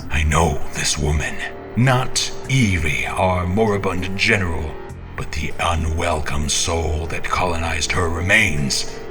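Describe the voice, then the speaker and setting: A man's voice: low voice, takes it slowly, music in the background. A man playing an obsessed, menacing, half-mad champion in a theatrical monologue, grandiose and brooding, with whispery breaths. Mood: haunted, intense.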